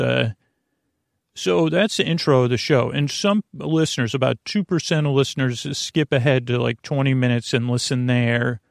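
Gravelly, meandering male podcast host. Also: mid-range voice, dry recording, clean studio sound. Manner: halting, meandering, repeats and trails off mid-sentence. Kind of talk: rambling, informal sleep podcast introduction.

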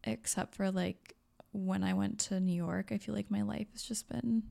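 A woman's voice: mid-range voice, steady tone, moderate pace, creaky, mellow. A young woman co-hosting a podcast in casual chat, laughing often and trailing off. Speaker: podcast co-host.

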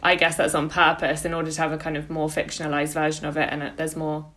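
A woman's voice: sits low, monotone, fast, fairly bright tone. A woman in her late twenties talking casually as a podcast co-host, feeling her way tentatively through her thoughts. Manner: tentative, conversational, frequently hedging with "like".

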